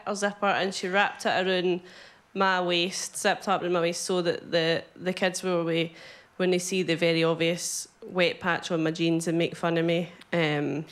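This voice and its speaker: A woman's voice: lowish voice, a bit bright. A woman on a comedy panel telling a candid story in a giggly, hesitant way, with frequent "um"s. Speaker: panel show guest.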